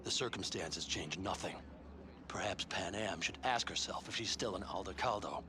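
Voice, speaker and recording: An actor voicing a stern, cold older nomad clan leader in a video game. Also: male voice, deep voice, moderate pace, noisy background, close to an everyday voice.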